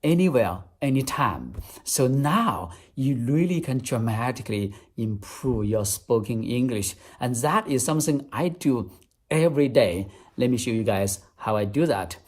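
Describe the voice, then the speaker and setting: A man's voice: mid-range voice, lively intonation, a little slow, a little mellow. A man with a Chinese accent, a native Chinese speaker, teaching English step by step in an app demonstration. He talks earnestly and repeats key phrases.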